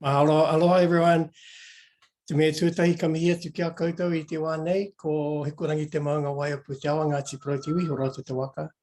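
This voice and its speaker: A man's voice: a little high, flat delivery, fast, a little mellow. A man from New Zealand on a video call panel, giving a ceremonious formal greeting and introducing himself. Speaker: panelist and researcher.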